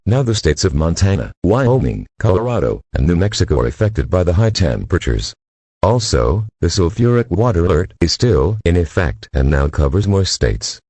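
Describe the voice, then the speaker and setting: A man's voice: low-pitched, dark tone, slightly rough, no echo, exaggerated voice. A male voice as an automated emergency alert announcer, formal and stilted, reading a scripted broadcast warning. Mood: formal, grave.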